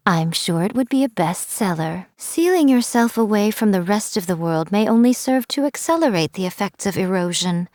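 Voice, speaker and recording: A woman's voice: medium pitch, darkish tone, dry recording, clean studio sound, lightly acted. A woman voicing a playful, teasing fox spirit in a game, coy and amused as she talks. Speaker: game character. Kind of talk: game voice lines. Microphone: studio mic, dry.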